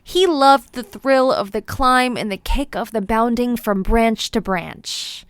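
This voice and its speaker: Lady reading a kids book out loud, crisp n fairly high, playful storytelling, no echo. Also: slow. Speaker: children's book read-aloud presenter. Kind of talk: expressive storybook narration.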